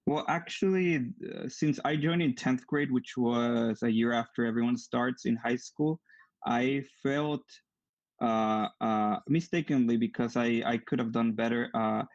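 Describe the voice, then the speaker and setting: A man's voice: mid-range voice, a little slow, dry recording, highs cut off. A young man from Mexico with a strong Mexican accent, a Spanish native speaker answering earnestly as an interview guest. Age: young adult. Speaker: guest, engineering student. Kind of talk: online interview.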